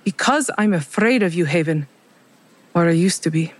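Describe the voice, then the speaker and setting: A woman's voice: lowish voice, lively intonation, moderate pace. A woman playing a bitter, guarded coworker in an audio drama, terse and resigned.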